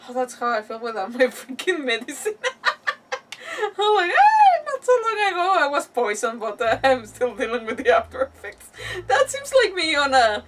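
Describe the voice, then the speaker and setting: A woman live streaming a game with giggly, excitable, chatty casual reactions. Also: sits high, moderate pace, recorded from a distance, slight background noise.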